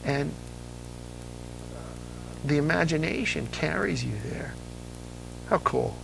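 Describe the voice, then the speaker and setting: A middle-aged American man with a slightly high, gravelly voice chats as an interview guest. He tells stories emphatically, circling back on phrases to drive them home. Faint music plays behind him. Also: expressive, slow pace, a bit bright, rough recording. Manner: emphatic, repeats phrases for effect. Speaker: interview guest, old-time radio fan. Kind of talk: animated conversational storytelling.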